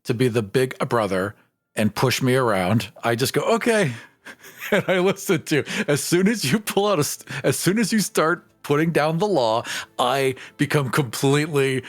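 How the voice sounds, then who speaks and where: A man's voice: mid-range voice, lively intonation, normal speed. A man talking as the co-host of a podcast. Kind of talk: casual podcast chat.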